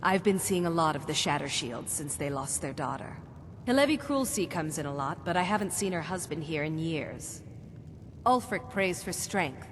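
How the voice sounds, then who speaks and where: A woman's voice: expressive, moderate pace. A woman playing an earnest, devout priest in a fantasy game, speaking in a solemn, matter-of-fact way. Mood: matter-of-fact, indignant. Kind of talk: fantasy video game acting. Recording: reverberant hall, faint rumbling noise.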